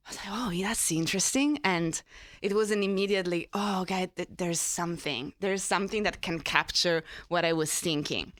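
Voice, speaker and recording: A woman's voice: lowish voice, moderate pace, no room sound, studio quality. A woman with an Italian accent, telling her personal story as a panel speaker with self-deprecating humour. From Italy. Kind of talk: personal storytelling to a live audience.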